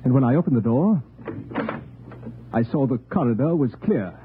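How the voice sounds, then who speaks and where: A man's voice: medium pitch, expressive, moderate pace, heavy background noise, close to an everyday voice. An actor playing a deposed male king on the run, who also narrates, dry and composed in an old radio drama. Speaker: narrator and lead. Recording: tinny old radio broadcast with music.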